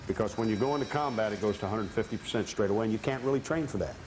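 A man's voice: fairly low pitch, very fast, a little mellow. A man speaking bluntly and emphatically in an informal interview as a special forces member. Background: music.

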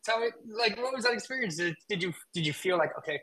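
Male host in his thirties, eager and rambling, bright, slightly high voice, webcam call. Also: animated, quick pace, a little hiss or hum, poor audio. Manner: enthusiastic, loose phrasing with many "like"s. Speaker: podcast host. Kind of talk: casual interview questions. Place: home room with a ceiling fan. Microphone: video call webcam.

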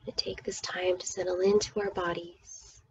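A woman leading a guided meditation as its teacher, speaking soothingly and often dropping toward a breathy whisper. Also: on the low side, flat delivery, normal speed, dull audio, a little hiss or hum.

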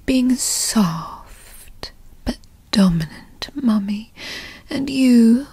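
Breathy, whispering possessive girlfriend, acted by a woman. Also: fairly high pitch, animated, extremely slow, dark tone, dry recording, full character voice. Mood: doting, teasing. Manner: coaxing, teasing, pet names. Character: doting, possessive, dominant yandere girlfriend, motherly and coaxing. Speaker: ASMR roleplay performer. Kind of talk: whispered ASMR roleplay.

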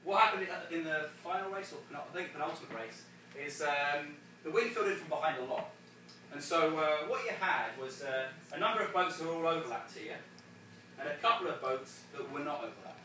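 A man's voice: a little high, expressive, brisk, pushed and bright, recorded from a distance. A man coaching a group of sailors through a race debrief, explaining casually with many restarts and laughs. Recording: echoey room, from afar, faint noise.

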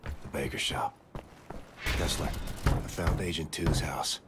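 An actor playing a gruff, weary American soldier hero in a video game, muttering in terse, brooding lines. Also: male voice, rumbling low, slow, very noisy. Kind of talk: video game cutscene acting.

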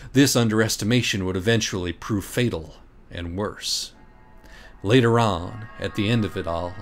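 A man reading scripted comic narration as an audiobook reader, in a mock-dramatic, scornful, profane manner. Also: lowish voice.

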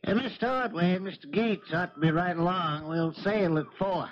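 An actor in a 1970s radio drama playing a crusty old charter boat captain, gruff and folksy. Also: male voice, high-pitched, moderate pace, natural voice. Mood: brisk. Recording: muffled 1970s radio recording.

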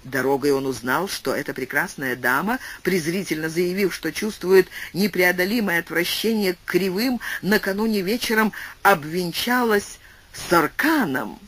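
A man reading a classic philosophical tale aloud as an audiobook narrator, with expressive, swooping delivery and shifting pace. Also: sits high, moderate pace. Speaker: audiobook reader.

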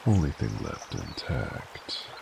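Very deep, gravelly werewolf hunter. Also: male voice, wildly swooping pitch, very noisy, heavily acted. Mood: playful threat. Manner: slow, drawling, seductive, menacing. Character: dominant teasing male werewolf bounty hunter. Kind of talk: intimate roleplay ASMR, slow and breathy.

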